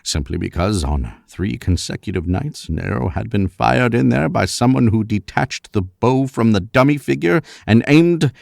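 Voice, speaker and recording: An actor playing a shrewd older professor, speaking with pompous, deliberate emphasis in 1940s radio mystery style. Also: male voice, lowish voice, animated, creaky, a little mellow, no room sound, clean studio sound. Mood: measured, superior. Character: shrewd, theatrical older professor, father unmasking a killer.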